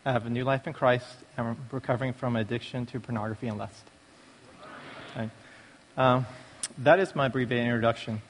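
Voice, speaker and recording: A man's voice: mid-range voice, fairly even intonation, a little fast, slight background noise. A man gives a prepared personal testimony at a church recovery meeting, speaking in a large, echoing hall. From born in Taiwan, raised in the United States from age five. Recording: long reverberant hall echo.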